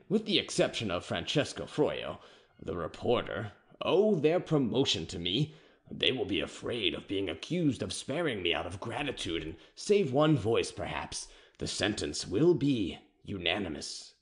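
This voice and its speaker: Male narrator as a defiant imprisoned king: deep, slow, quietly scornful, lively in pitch. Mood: disdainful. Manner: scornful, measured and disdainful. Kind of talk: audiobook character reading.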